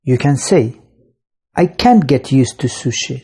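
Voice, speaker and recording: This is a husky, mellow voice reading a grammar lesson at a slow, deliberate pace. The pitch moves a lot between phrases. It sounds like a scripted voice-over for an online course. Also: animated. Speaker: English teacher.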